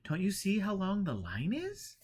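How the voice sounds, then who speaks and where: Man voicing a high-pitched, firm, kindly woman. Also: huge pitch swings, moderate pace, a little mellow, slightly rough, full character voice. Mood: kindly, amused. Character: kindly but firm woman pilgrim waiting in line.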